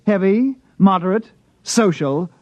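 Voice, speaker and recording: An actor playing an eager, polite and naive sales clerk in 1950s radio drama, deferential and glib. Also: male voice, high voice, lively intonation, unhurried, a little mellow. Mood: smooth selling. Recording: muffled, hissy 1950s radio recording.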